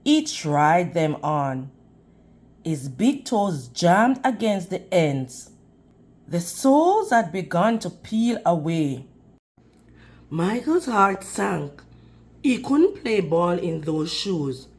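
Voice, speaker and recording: A woman's voice: fairly low pitch, big pitch swings, takes it slowly, a little mellow. A woman reading a storybook aloud to young children in a teacherly manner, prompting her listeners with questions.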